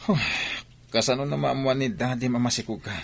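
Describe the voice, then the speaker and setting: An actor plays a smitten, naive young student in an Ilocano radio drama. His voice sits mid-range with a rough, husky edge. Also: medium pitch, fairly even intonation, brisk. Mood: worried.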